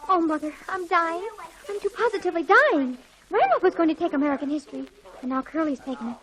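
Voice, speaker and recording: Shrill, gushing teenage girl. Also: expressive, fast, fairly bright tone, poor audio. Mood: melodramatic despair. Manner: gushing, melodramatic. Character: excitable, melodramatic teenage daughter and sister. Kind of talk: 1940s radio comedy acting. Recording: old 1940s radio recording.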